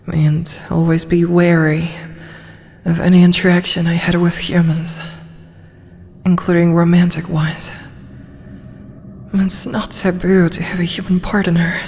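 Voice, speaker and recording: A woman voicing a proud female dragon who turns shy and flustered in a hesitant ASMR roleplay, often slipping into breathy whispers. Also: fairly low pitch, a little mellow, in a large hall. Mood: guarded, teasing.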